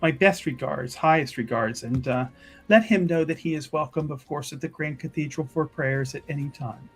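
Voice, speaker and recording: A man voicing a pious, gracious chantry sister with formal, deliberate speech in a fantasy tabletop game. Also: a little high, slightly rough.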